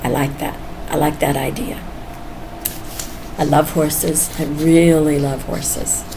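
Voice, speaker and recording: An older woman, around seventy, speaks slowly in a low, gravelly voice. She muses candidly and casually, as in a personal vlog, with clear background noise behind her. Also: level tone. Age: almost 70. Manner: candid, musing, chuckles often. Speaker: vlogger. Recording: noisy background ambience.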